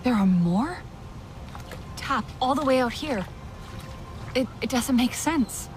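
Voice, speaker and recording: A woman's voice: high-pitched, expressive, takes it slowly, faint music behind. A woman playing a young alien seeker in a video game, earnest, unsure and questioning. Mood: searching, earnest. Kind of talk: video game character acting.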